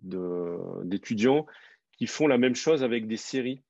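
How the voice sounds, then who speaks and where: A man who teaches French, hosting an interview and asking quiz questions clearly and encouragingly. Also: lowish voice, animated, measured pace, mellow, no room sound, muffled recording. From France.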